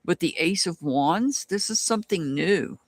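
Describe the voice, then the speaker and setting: This tarot reader has a Southern US accent and talks in an unhurried, drawn-out way, often stopping mid-thought to start over. The voice sounds dry and close, with no room echo, as the reader chats through a live-stream reading. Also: medium pitch, expressive. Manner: slow, musing, restarts often.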